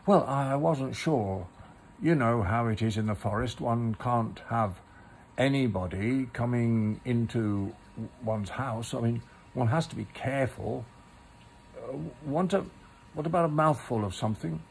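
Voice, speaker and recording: Man doing a fussy polite rabbit from a storybook, prim, bit stern, creaky voice. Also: mid-range voice, a little slow, a little mellow, a little hiss or hum, rough recording. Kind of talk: storybook character reading.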